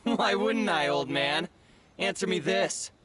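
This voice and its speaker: Sneering, higher-pitched thief. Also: male voice, slow, noisy background. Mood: mocking, hostile. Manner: mocking, taunting. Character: sneering, contemptuous male thief. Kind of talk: video game voice acting.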